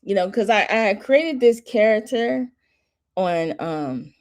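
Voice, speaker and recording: A woman hosting a watch party in casual chat, rambling and restarting, her voice mostly monotone but jumping high at times. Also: measured pace. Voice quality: says her voice runs monotone then jumps high. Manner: rambling, restarting often, laughing between thoughts. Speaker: host of a watch party stream.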